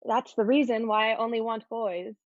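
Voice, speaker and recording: A woman's voice: on the high side, steady tone, moderate pace, no room sound, natural voice. Self-assured schoolgirl, slightly muffled, a woman acting. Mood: proud, earnest. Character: happy, self-assured, romantic teenage schoolgirl. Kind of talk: dramatic reading of a period play.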